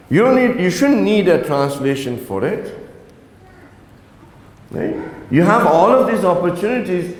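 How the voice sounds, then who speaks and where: A man whose first language is Urdu, giving an earnest religious talk to young people and often checking in with them. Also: fairly high pitch. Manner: earnest, exhorting, asks the audience "right?". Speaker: religious lecturer. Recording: echoey reverberant hall.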